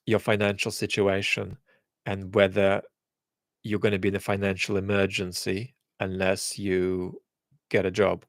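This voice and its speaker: A man answering viewer questions in a casual chat, speaking in a thoughtful, measured way with frequent restarts. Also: lowish voice, no echo. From England.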